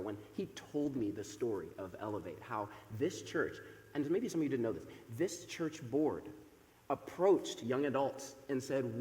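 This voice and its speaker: A man in his thirties, a pastor speaking from the pulpit in a church sanctuary through a microphone, loud and chatty with many fillers. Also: mid-range voice. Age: 30s. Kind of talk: sermon. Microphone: microphone over a PA system. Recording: echoey church hall with long reverb.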